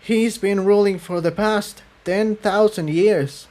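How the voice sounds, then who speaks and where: Man voicing a hesitant, higher-pitched female pony defector. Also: steady tone, slow, darkish tone. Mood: grave. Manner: hesitant, carefully chosen words.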